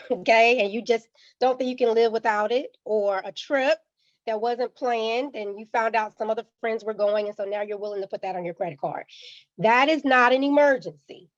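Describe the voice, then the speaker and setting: A woman with a slightly raised pitch and a touch of rasp from being under the weather, walking listeners through a finance lesson like a teacher. She pauses to check in with a quick "okay?". The sound is dry and a little muffled, like a video call. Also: no echo. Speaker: guest speaker on personal finance. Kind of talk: church community finance presentation.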